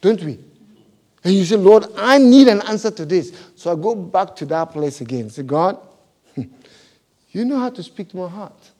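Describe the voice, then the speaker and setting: Animated pastor with a non-native English accent. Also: male voice, sits high, unhurried, long pauses. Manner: animated, laughing storyteller, big swings in loudness. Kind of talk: sermon.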